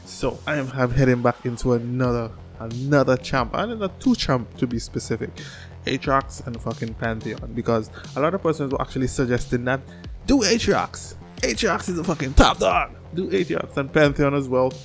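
A man in his thirties hosting a casual reaction video, talking in an animated, slangy way with constant swearing and repeated words. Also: mid-range voice, a little fast, soft background music. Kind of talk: casual excited reaction commentary. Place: small furnished room at home. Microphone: close desk microphone on boom arm.

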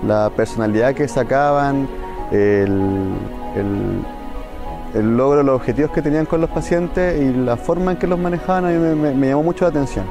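A man's voice: medium pitch, moderate pace. A young man with a Chilean accent, a doctor giving an interview testimony. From Santiago, Chile. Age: young adult. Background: faint music.

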